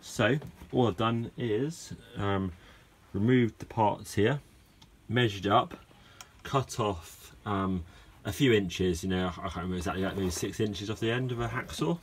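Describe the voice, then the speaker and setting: A man's voice: fairly low pitch, measured pace, a little hiss or hum. A man casually talking through a project while filming it on his phone outside a garage, rambling with fillers and restarts. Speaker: car owner vlogger. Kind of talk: casual how-to vlog. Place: outdoor garage yard. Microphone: phone camera held while filming.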